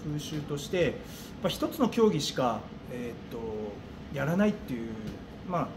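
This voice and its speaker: Male interviewee, middle-aged coach type, slightly higher voice, hesitant and searching for words, clear background noise. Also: sing-song. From Japan. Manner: hesitant, thinking aloud with many pauses. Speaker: gym owner and martial arts coach. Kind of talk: interview answers. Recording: clearly noisy room ambience.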